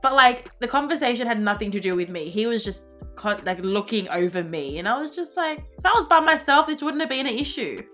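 A young woman with an Australian accent, co-hosting a podcast and telling a story in casual, animated chat full of fillers and swearing. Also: a little high, fairly quick, hardly any pauses, faint music behind. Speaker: podcast co-host.

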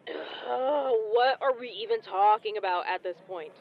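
A woman's voice: medium pitch, unhurried, muffled recording, lightly acted. A woman playing an exasperated, cynical member of a covert crew in a sci-fi audio drama.

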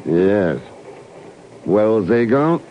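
An actor playing a self-possessed secret agent in an old radio drama, unhurried and probing. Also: male voice, lowish voice, very animated, full character voice. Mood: brisk, controlled. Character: cool, probing male secret agent hero. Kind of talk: 1950s radio drama acting. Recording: noisy, dull old radio recording.

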